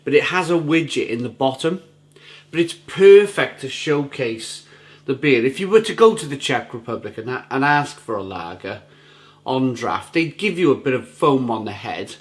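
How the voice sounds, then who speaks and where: Gruff-voiced enthusiastic beer reviewer man. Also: medium pitch, big pitch swings, moderate pace. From England. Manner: enthusiastic, savoring, chatty with repeats. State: gruff, rough throat. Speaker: beer reviewer, channel host. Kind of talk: casual review to camera.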